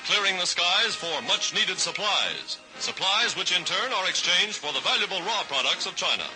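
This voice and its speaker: A man narrating a 1940s newsreel in a brisk, emphatic announcer style, on an old archival recording. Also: high-pitched, animated, poor audio. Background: music.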